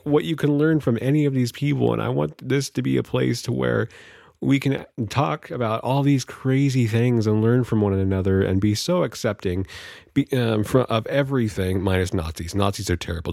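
Deep-voiced Californian man, creaky and casual. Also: darkish tone, no room sound, studio quality. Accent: Californian American. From California, United States. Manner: rambling, jokey, informal. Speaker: podcast host. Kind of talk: casual solo podcast monologue.

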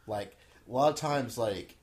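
A man's voice: medium pitch, measured pace, a little mellow. A man on a casual film discussion panel, explaining and adding details. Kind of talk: casual chat.

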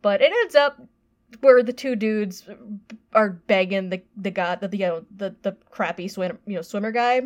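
A woman co-hosting a podcast, giving a casual review in halting, hesitant phrases. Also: mid-range voice, highs cut off.

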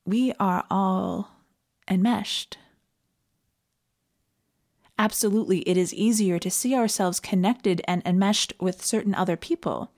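A Canadian woman giving a reflective, scripted sermon in an earnest and measured manner. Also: mid-range voice, a little mellow. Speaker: preacher.

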